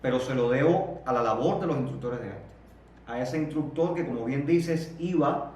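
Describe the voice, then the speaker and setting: A Cuban man in his thirties, guest in an interview, talking slowly and reflectively with frequent repeated words and pauses. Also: medium pitch, a little mellow. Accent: Cuban Spanish. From Cuba. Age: 30s. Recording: echoey room, distant mic, faint noise.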